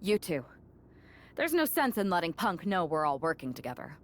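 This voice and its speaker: A decisive, strategic pro wrestler in a game cutscene. Her voice is slightly low with lively intonation and matter-of-fact phrasing. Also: normal speed. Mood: calculating. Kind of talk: video game cutscene dialogue.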